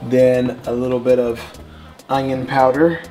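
A man's voice: mid-range voice, level tone, unhurried, warm tone, soft background music, rough recording. A man in his thirties co-hosting a home cooking show, talking in an upbeat, easygoing, jokey way while seasoning, on a lapel mic in a home kitchen. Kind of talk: casual cooking show chat.

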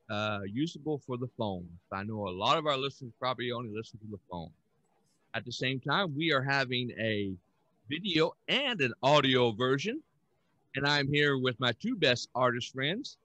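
A man's voice: medium pitch, sharp tone, dry recording. An older man casually hosting a podcast, speaking on a headset from a room at home. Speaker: podcast host. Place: indoor room at home, on a video call.

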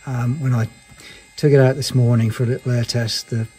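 A man's voice: mid-range voice, level tone, brisk, dark tone, slightly rough, faint music behind, poor audio. A man giving an informal technical explanation in a matter-of-fact, understated and somewhat hesitant way, as a maintenance engineer. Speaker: aircraft maintenance engineer presenting.

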